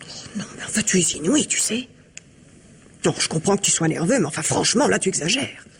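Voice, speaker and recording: An actress playing a calculating, controlled mistress who coaxes and instructs, in 1950s French radio mystery style. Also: female voice, medium pitch, expressive, fairly quick, creaky, a little hiss or hum. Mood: coaxing, reassuring. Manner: coaxing, instructing, persuasive. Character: calculating, controlled mistress and office collaborator plotting crime.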